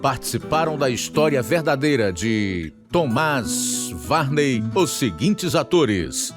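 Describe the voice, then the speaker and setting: A man's voice: medium pitch, big pitch swings, slow pace. A man with a Brazilian accent hosting and announcing a radio program with scripted narration. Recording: guitar music under the voice.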